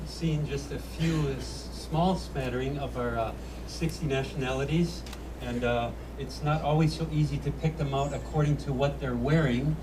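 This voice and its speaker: Male emcee for a shipboard ceremony, halting and jokey, picked up from afar with crowd noise. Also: mid-range voice, fairly even intonation. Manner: hesitant, joking, many filler sounds. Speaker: master of ceremonies. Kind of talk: ceremony hosting, informal interviews. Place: aboard a ship at a public opening ceremony. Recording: distant mic, clear background noise.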